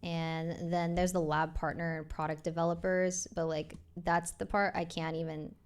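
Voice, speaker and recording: A young woman speaking softly and hesitantly, sharing her side of things on a live stream. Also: lowish voice, little pitch movement, normal speed, clear, resonant tone. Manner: soft-spoken, hesitant. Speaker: streamer addressing her audience. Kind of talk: personal live statement.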